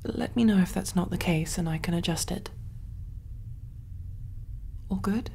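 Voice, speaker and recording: Woman playing a hushed, mellow, kindly alien medic. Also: on the low side, unhurried, gravelly, a little hiss or hum. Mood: gentle, informative. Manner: gentle, hushed, reassuring, often near whisper. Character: kind, soothing female alien medic, calm and clinical. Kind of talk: ASMR sci-fi roleplay, soft-spoken.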